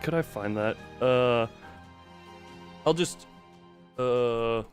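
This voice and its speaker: A man's voice: mid-range voice, very slow, faint music behind. A man chatting casually on a livestream, telling anecdotes in a rambling, chuckly way. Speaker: streamer.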